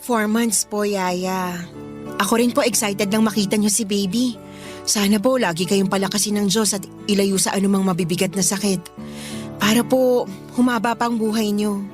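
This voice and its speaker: A woman's voice: medium pitch, fairly even intonation, moderate pace, natural voice. A woman playing a caring, anxious young married woman in a Filipino radio drama, earnest and respectful. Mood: hopeful. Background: music.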